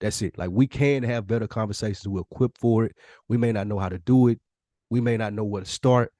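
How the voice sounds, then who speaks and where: A man's voice: on the low side, fairly quick, mellow, no room sound, clean studio sound. A man co-hosting a podcast in casual, earnest talk, using slang and profanity and often voicing imagined quotes. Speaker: podcast co-host.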